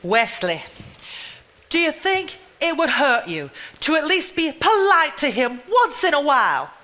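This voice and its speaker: Woman acting a sharp, tart woman. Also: high-pitched, big pitch swings, measured pace, heavily acted. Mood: reproving. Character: tart, no-nonsense Southern woman, reproving. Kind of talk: live stage play acting. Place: theater stage before a live audience.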